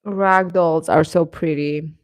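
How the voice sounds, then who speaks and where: A woman hosting a casual livestream chat, answering viewers informally and haltingly, often repeating short phrases. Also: low voice, steady tone, no echo. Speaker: livestream host chatting with viewers.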